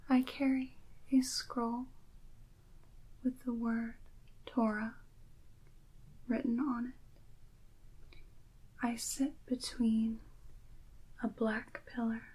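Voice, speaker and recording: A woman playing a serene, regal priestess from a tarot deck, in a breathy, mostly whispered, stately ASMR roleplay delivery. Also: a little high, little pitch movement, darkish tone, heavily acted, low-quality recording. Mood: serene, mysterious. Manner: stately, deliberate, mysterious.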